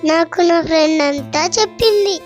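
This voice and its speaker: A performer playing an innocent, curious little village girl with childlike, plaintive questioning, in an animated moral story. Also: very high voice, normal speed, soft background music.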